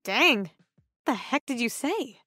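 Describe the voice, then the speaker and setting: An actress playing a casual, wry, supportive coworker in a naturalistic audio drama. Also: female voice, a little high, sing-song, slow, pushed and bright, dry recording, clean studio sound, heavily acted. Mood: wry, teasing. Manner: wry, informal.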